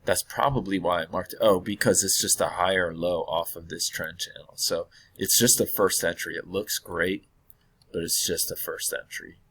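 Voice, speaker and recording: A man walking through a trading chart in a casual, hesitant way, hoarse and losing his voice from illness, often clearing his throat and correcting himself. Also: sits low, fairly even intonation, normal speed, fairly bright tone. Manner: thinking aloud, hesitant with many fillers and self-corrections. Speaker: trading analyst.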